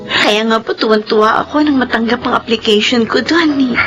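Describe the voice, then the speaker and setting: A woman's voice: fairly high pitch, fairly quick, close to an everyday voice. An actress playing an earnest young woman in a radio drama, speaking with emotion and close to tears. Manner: emotional, tearful. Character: young woman, resentful daughter, tearful. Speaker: midwife character. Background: faint music.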